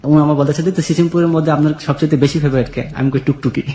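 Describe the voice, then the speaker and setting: A man's voice: on the high side, steady tone, rapid-fire, warm tone. Young Bangladeshi man storytelling, echoey sound. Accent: colloquial Bangladeshi. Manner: retells dialogue in quoted turns. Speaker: guest telling his life story. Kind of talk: conversational personal storytelling. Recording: echoey, reverberant voice.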